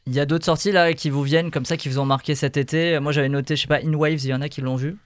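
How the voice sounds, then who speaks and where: A man's voice: on the high side, steady tone, fast talker, no echo. French man hosting a film podcast. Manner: leads segments, jokey. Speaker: host. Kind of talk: casual film podcast chat.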